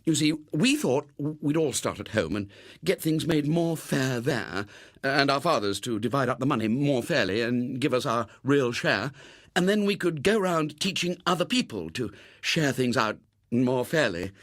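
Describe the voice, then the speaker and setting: A man's voice: medium pitch, animated, moderate pace, no echo, studio quality, close to an everyday voice. A man voicing an earnest, flustered, self-righteous young British man who stammers and pleads, in a dramatised audiobook. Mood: desperate, pleading.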